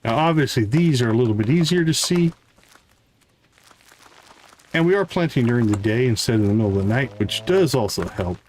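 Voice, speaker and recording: A man's voice: mid-range voice, fairly quick, pauses often, darkish tone, slight background noise, poor audio. A man giving casual live game commentary as a streamer, thinking aloud and chatting to viewers.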